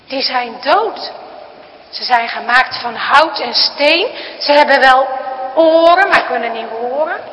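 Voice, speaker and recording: A woman's voice: high voice, lively intonation, slow pace, bright, forceful tone, big-room sound, heavily acted, rough recording. A woman voicing a frightened but steadfast young girl who speaks earnestly and pleadingly.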